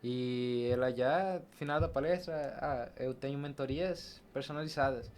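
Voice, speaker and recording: A young Brazilian man speaks as a podcast guest in a medium-pitched voice. He recounts personal experiences casually, slipping into quoted dialogue as he goes. There is noticeable background noise around him. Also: a little slow, darkish tone. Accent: Brazilian Portuguese. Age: young adult. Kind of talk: casual personal storytelling in an interview.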